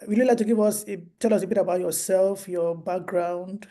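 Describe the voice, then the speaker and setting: A woman hosting an online book discussion over a video call, speaking in a semi-formal, halting and deliberate way. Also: mid-range voice, dark tone.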